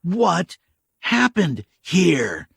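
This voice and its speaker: A cold, unflinching woman snaps short, sharp demands, as a male narrator puts her on. The voice sits higher than his own but stays husky and gravelly. Dry, close audiobook sound. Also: big pitch swings, takes it slowly, dry recording, heavily acted. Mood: hard, blunt. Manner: clipped, sharp, commanding. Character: tough, cold young woman survivor, blunt.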